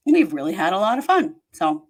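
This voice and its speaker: A woman's voice: medium pitch, sing-song, brisk, darkish tone. A woman hosting a podcast, talking in a chatty, rambling way and laughing easily. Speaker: host. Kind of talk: casual podcast chat.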